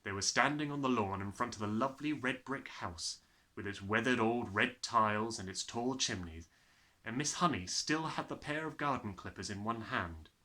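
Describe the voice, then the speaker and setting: A man reading a children's story as an expressive narrator. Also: medium pitch, moderate pace.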